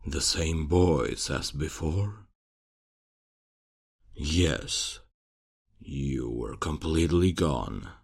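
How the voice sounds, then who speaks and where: A man acting a kindly, fatherly old archmage in a fantasy ASMR roleplay, speaking in a gentle, solemn, measured way with a gravelly, husky voice. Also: a bass voice, heavily acted. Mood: concerned, curious. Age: older.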